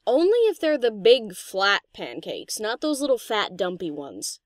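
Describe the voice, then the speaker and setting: Cheeky, animated DJ mare played by a woman. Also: medium pitch, unhurried, no room sound, clean studio sound. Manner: casual, teasing, brash. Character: cheeky, slangy, laid-back female DJ pony. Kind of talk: scripted audio story character acting.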